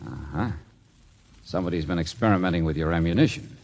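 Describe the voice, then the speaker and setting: An actor playing a brisk, cool-headed private investigator in an old-time radio drama. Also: male voice, sits low, darkish tone. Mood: cool, matter-of-fact. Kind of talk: 1940s radio detective acting. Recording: faintly noisy old radio recording.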